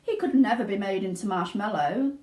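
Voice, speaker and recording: Woman playing an excitable, eccentric factory owner. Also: medium pitch, a little fast, lightly acted. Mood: emphatic. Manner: emphatic, exclamatory, fussy. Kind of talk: children's storybook reading. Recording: roomy indoor echo.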